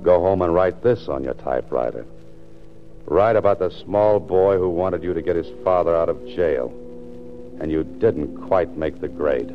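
An actor playing a world-weary, wry writer turned amateur sleuth in a 1940s radio drama, often talking to himself as narrator. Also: male voice, deep voice, normal speed, close to an everyday voice. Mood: wry, downcast. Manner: wry, self-addressing narration. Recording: muffled 1940s radio recording with music.

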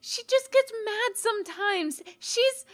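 A woman's voice: very high voice, takes it slowly, a bit bright, pitched above the performer's own voice, exaggerated voice. A woman voicing a timid, tearful young rabbit girl in a storybook, pleading and whimpering. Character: timid, tearful little rabbit girl defending her sister.

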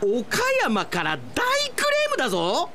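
A man's voice: very high voice, wildly swooping pitch, measured pace, background noise, voice pushed up, heavily acted. A man playing a hot-tempered male department boss in a radio drama, with explosive, blustering scolding. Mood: furious. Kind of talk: melodramatic radio drama acting.

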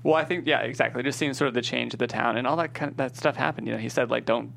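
A man talks fast in a heavily gravelly, fry-laden voice. He is a guest writer, chatting casually in an interview. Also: medium pitch, steady tone, fairly bright tone. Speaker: screenwriter, guest.

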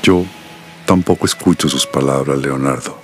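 A middle-aged Colombian doctor, a protective father with a very deep voice. He speaks in a measured, confiding and serious way, as in a radio soap, over faint music. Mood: tender, solemn. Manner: measured, solemn, articulate. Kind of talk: radio soap opera acting.

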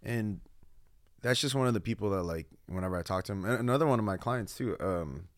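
Man, thirties, low pitch, casual slangy storytelling, podcast guest, very dry recording. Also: fairly quick, no room sound. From Guam and California, born in the Philippines. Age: 30s. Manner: slangy, animated storytelling with quoted asides.

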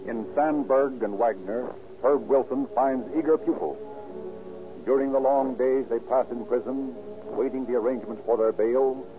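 Dramatic American radio narrator, muffled vintage recording. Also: male voice, mid-range voice. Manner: grave, declamatory, deliberate emphasis. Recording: muffled old radio recording, music behind.

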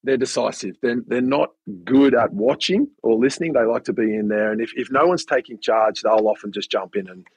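A man's voice: mid-range voice, fairly quick, few pauses, slightly rough, dry recording. A man on a casual podcast who mentors students and athletes and explains things steadily, often restarting his sentences.

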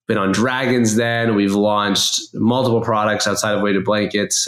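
Low-pitched Canadian man in a roomy space. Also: a bit bright. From Toronto, Canada. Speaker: podcast guest, company co-founder. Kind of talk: casual conversational interview.

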